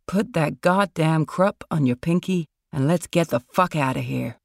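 A man's voice: fairly high pitch, a little slow, creaky, no room sound, studio quality. A reader voicing a heavy, bossy older male film star in an angry, controlled mumble for a short story. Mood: controlled anger.

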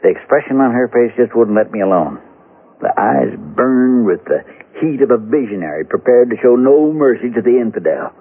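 An actor playing a steady, dogged small-town sheriff in a radio western, with a folksy, measured way of talking. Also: male voice, mid-range voice, husky, phone-quality sound. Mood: brooding narration. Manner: folksy, plain-spoken, measured. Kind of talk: 1970s radio western acting and first-person narration. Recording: thin band-limited radio recording, music behind.

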